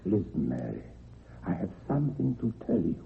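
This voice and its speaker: A man's voice: on the low side, sing-song, gravelly, slightly rough, exaggerated voice. An actor playing a mysterious ghostly seafaring grandfather with a foreign accent, portentous, in an old-time radio horror play. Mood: solemn, revelatory. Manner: slow, portentous. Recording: tinny, band-limited vintage radio recording.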